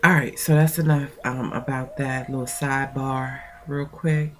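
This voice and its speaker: A woman's voice: extremely low pitch, fairly even intonation, normal speed, slight background noise. A woman mentoring coach with a stuffy nose, talking in a chatty, rambling way with many fillers and reading aloud from her own notes. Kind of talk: casual talk and reading aloud.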